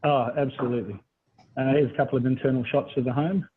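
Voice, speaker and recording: Phone-like, husky Australian man presenting. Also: medium pitch, steady tone, fast. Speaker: property buyers agent presenting. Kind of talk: webinar presentation, conversational sales talk. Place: indoors on a video call. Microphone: video call. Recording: thin, phone-like video call audio.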